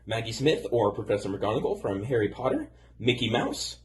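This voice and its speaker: A man's voice: mid-range voice, very animated, fairly quick. A man, a podcast guest, introducing his voice-acting performance in a friendly, presentational way.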